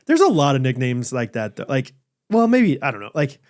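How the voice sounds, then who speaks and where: A man's voice: mid-range voice, big pitch swings, a little fast, a little mellow. A man co-hosting a podcast, joking and laughing often as he reads an ad. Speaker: podcast co-host. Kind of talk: casual chat with ad reads.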